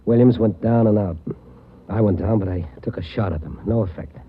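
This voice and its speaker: A man's voice: fairly low pitch, a little fast, breathy edge. An actor playing a wounded police patrolman who speaks weakly and plainly, in 1940s radio drama style. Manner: weak, plain-spoken. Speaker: police officer. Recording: muffled old radio broadcast.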